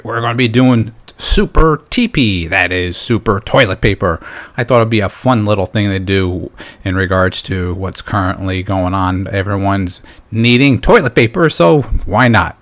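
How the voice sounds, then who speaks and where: A man's voice: fairly low pitch, fairly bright tone. A man hosting a casual step-by-step drawing tutorial, talking informally and encouragingly as he thinks aloud while he works. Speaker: drawing instructor and host.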